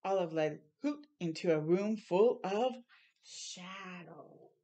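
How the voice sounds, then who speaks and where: A woman reading a children's storybook aloud expressively, adding small asides and dramatic, drawn-out, hushed phrases. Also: lowish voice, expressive.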